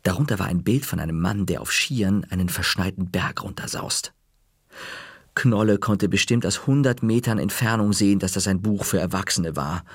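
A man narrating a children's story in the first person, calm, dropping to a whisper in quiet moments. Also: fairly low pitch, slightly rough, no echo, clean studio sound.

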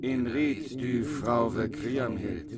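A man's voice: mid-range voice, drawn-out words, a loud crowd. A man reciting medieval verse as a bard in a radio play. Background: prominent music.

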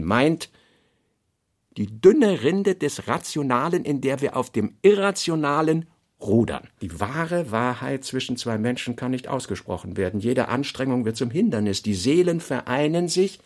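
A man reading scripted literary notes for a radio play, in measured, fragmentary phrases. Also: medium pitch. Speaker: reader of a writer's working notes.

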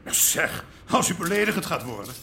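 An actor playing a prim, self-righteous city official in a radio drama, formal and defensive. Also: male voice, fairly high pitch, very animated, a little fast, creaky, pushed and bright, a little hiss or hum, heavily acted. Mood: defensive, flustered. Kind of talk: naturalistic radio drama acting.

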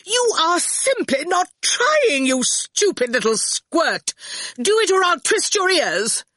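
A woman voicing a theatrical, quick-tempered young man in an imperious, exclamatory manner for a storybook. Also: a little high, sing-song, dry recording, pitched above the performer's own voice. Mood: furious.

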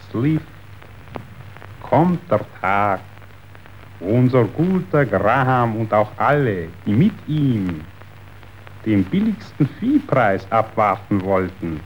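A scheming, gloating meat tycoon in a radio play. His low voice swings widely in pitch at a slow, declamatory pace. The sound is dull and faintly noisy. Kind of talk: theatrical radio drama.

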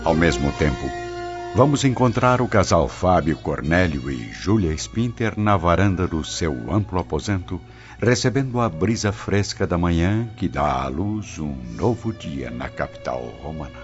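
A man's voice: low-pitched, expressive, unhurried. A man giving scripted, dramatic narration for a radio drama. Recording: dull lo-fi audio. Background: prominent background music.

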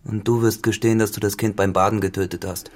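An actor playing a cynical, dissolute farmhand turned tenant in a literary radio drama, grave and deliberate in manner. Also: male voice, lowish voice, little pitch movement, darkish tone, close to an everyday voice. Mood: insistent, threatening.

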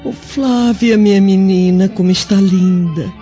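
A woman voices a weary older Roman matron in a radio drama. She speaks slowly in a soft, dark tone, tender and sorrowful. The recording is slightly dull, with music faintly behind. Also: medium pitch, close to an everyday voice. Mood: tearful, tender. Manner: drawn-out, melodramatic.

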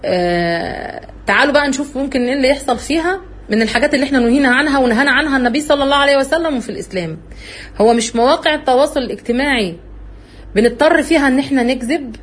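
A woman with an Egyptian Arabic accent giving an earnest religious talk, telling her own story in an animated way. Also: sits high, very fast, slightly rough. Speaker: religious speaker.

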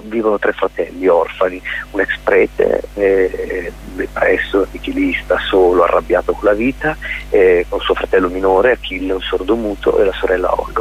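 A male theatre director and playwright being interviewed on radio, explaining his production in articulate lists with hesitant pauses. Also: low-pitched, husky, dull audio.

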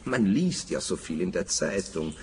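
Nervous male forester for radio drama: hugely animated pitch, halting and emphatic, some background noise and clicks. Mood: uneasy, confiding. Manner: hesitant, stammering, emphatic. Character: nervous, hesitant male forester, eager to defend others. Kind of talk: radio play acting.

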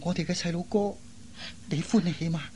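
Actor as devoted, earnest young suitor. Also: male voice, sits high, steady tone, normal speed, a little mellow, a little hiss or hum, close to an everyday voice. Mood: sombre. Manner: earnest, reassuring. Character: devoted, gentle young man, the heroine's suitor. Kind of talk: Cantonese radio drama acting.

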